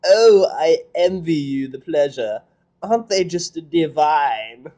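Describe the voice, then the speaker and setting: A man's voice: on the high side, animated, mellow, voice pushed up. A man doing a posh, gushing pony dressmaker. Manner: theatrical, gushing, drawn-out. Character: posh refined unicorn mare fashion designer.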